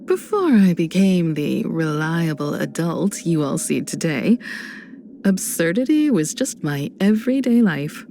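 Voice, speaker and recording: Casting: composed female mentor and star traveler for a game; very slow, dark-toned delivery, playful warmth, measured and thoughtful. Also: on the low side, very animated, gravelly, a little hiss or hum, heavily acted. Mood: reflective. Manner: measured, reflective, gently teasing. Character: composed adult woman space traveler, warm mentor, playful. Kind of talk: video game character voice lines.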